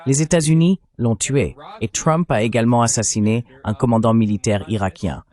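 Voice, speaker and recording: A man's voice: mid-range voice, a little fast, darkish tone, natural voice. A man voicing a French translation of a political analyst's explanatory interview commentary.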